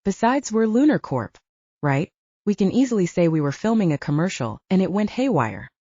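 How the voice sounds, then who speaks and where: A woman's voice: mid-range voice, sing-song, normal speed, mellow, husky, dry recording, lo-fi sound. A woman voicing a young blonde werewolf woman, protective and reassuring, with a soothing but firm manner, in an audiobook. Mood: calm, explaining.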